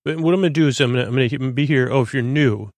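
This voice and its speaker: A man with a creaky voice hosting a bedtime podcast, soothing and meandering, stammering as he drifts off into tangents. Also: medium pitch, racing, dry recording. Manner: soothing, lulling delivery. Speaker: podcast host. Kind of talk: rambling bedtime monologue.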